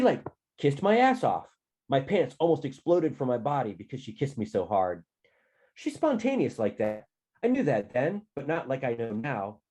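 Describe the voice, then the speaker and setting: A man reading a novel aloud as a goofy, lovestruck, optimistic teenage boy, excitable and earnest in an animated first-person telling. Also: medium pitch, lightly acted. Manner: excitable, earnest, slangy and emphatic.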